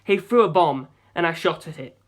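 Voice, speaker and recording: A male audiobook narrator with a fairly high speaking voice for a man. He reads scripted first-person adventure prose as a storyteller. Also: lively intonation, normal speed.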